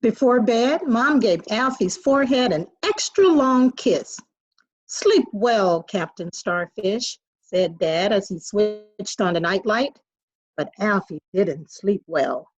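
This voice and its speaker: A woman's voice: mid-range voice, takes it slowly, breathy edge, dry recording. A woman teacher reading a children's picture book expressively, on a dry, dull recording. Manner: expressive storytelling.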